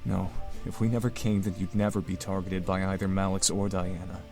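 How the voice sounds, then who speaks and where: An actor playing a gentle, guilt-ridden young demon prince in a visual novel game. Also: male voice, sits low, flat delivery, brisk, over music. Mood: soft regret.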